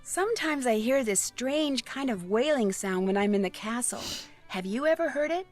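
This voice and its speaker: A woman's voice: a little high, expressive, normal speed, darkish tone, soft background music, lightly acted. An actress playing a polite, earnest young woman detective in a video game, speaking in a questioning way. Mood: curious. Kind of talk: video game character dialogue.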